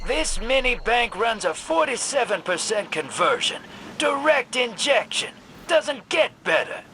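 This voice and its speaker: Slow, swinging sly rogue drawl. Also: male voice, very high voice, big pitch swings, pushed and bright, a little hiss or hum, heavily acted. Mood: wry, teasing. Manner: folksy, clipped, sardonic slang. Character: sly, cynical drawling rogue hustler, streetwise survivor. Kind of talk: video game cutscene acting.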